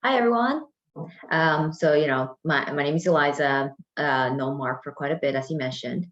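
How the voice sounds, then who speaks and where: A woman's voice: low voice, normal speed. A young adult woman from San Francisco, chatting casually on a video call with many fillers and restarts. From San Francisco, United States. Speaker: panel guest. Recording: muffled lo-fi video call audio.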